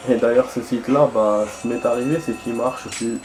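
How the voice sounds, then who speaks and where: A man's voice: mid-range voice, fairly even intonation, fairly quick, music in the background, poor audio. A young man chatting casually while playing a game, rambling and hesitant, with many fillers and restarts. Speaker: gaming streamer.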